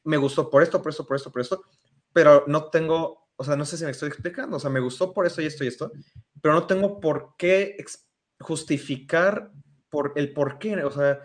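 A man's voice: a little high, brisk. A man with a Mexican accent hosting a casual podcast, rambling with lots of "o sea". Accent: Mexican Spanish. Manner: rambling, many fillers like "o sea". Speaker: podcast host. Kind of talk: casual discussion.

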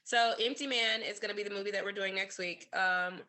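Woman co-host with a bright, forceful voice, relaxed and joking, slightly buzzed, says um a lot. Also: medium pitch, fairly quick. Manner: teasing, laughs easily, many ums. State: a little tipsy. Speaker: podcast co-host. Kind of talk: casual chat.

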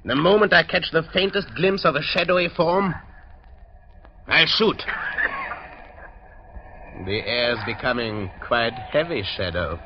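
An actor playing a cold-blooded, urbane doctor villain in an old-time radio drama, speaking with measured, polite menace and deliberate hesitations. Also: male voice, fairly high pitch, animated. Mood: gloating threat. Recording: dull, noisy old radio recording.

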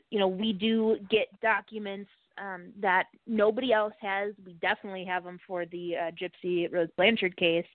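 A woman's voice: on the low side, no room sound. A woman podcast host answering interview questions conversationally, explaining things earnestly and in detail. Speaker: true crime podcast host being interviewed as a guest. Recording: band-limited, muffled call audio.

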